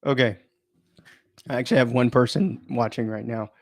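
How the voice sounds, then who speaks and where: A man's voice: medium pitch, normal speed, gravelly, a little mellow, no room sound, clean studio sound. A man chatting informally and thinking aloud as he runs an unplanned live stream, often interrupting himself in a rambling way.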